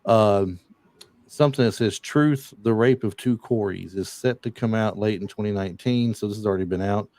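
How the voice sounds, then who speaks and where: A man's voice: fairly low pitch, moderate pace, darkish tone, no room sound. A man hosting a casual podcast, retelling movie scenes and reading off film trivia with lots of fillers. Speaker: podcast host. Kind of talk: casual chat.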